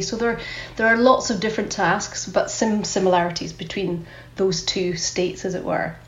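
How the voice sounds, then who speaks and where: A woman's voice: mid-range voice, roomy sound, muffled recording. A woman with a Scottish accent, a clinical psychologist giving thoughtful explanations as a podcast guest, often repeating words as she goes.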